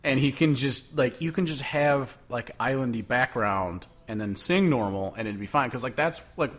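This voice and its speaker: A man's voice: mid-range voice, moderate pace, a bit bright. Rambling young man chatting about music. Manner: rambling, many "like" fillers. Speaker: podcast co-host. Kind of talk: casual chat.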